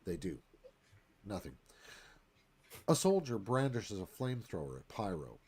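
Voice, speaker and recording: A man's voice: lowish voice, big pitch swings, measured pace, darkish tone, slightly rough. A man narrating a screenplay, reading action and stage directions as dramatic scripted narration.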